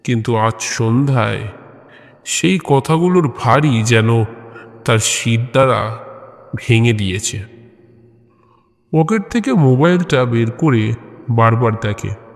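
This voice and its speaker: A man narrating and hosting scripted audio horror stories in a measured way, pausing between short phrases. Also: mid-range voice, animated, vocal fry. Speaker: host and narrator of an audio story channel. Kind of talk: scripted horror story narration and channel introduction. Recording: long reverb on the voice.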